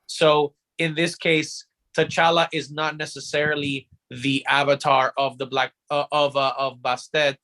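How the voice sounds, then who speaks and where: A man's bright, forceful voice, set a bit higher than average. He hosts in a casual, explanatory way, circling back and repeating words as he works out his point. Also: little pitch movement, measured pace, few pauses, low-quality recording. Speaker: podcast host.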